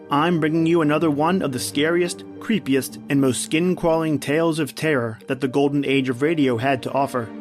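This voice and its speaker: A man hosting a podcast with a scripted, theatrical introduction in horror-anthology style. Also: fairly high pitch. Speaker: podcast host. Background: music.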